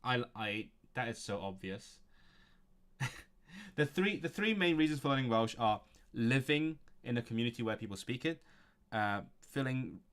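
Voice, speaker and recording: A man's voice: medium pitch, animated, moderate pace, a bit bright, clear, resonant tone. A man streaming a language study session, reading from a textbook and thinking aloud with frequent chuckles. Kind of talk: casual livestream, reading a textbook aloud and commenting.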